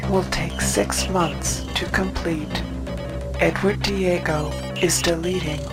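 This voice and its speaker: Casting: actress as detached game AI, slow measured reporting over loud music. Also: female voice, fairly low pitch, fairly even intonation. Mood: detached, clinical. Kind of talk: video game narration.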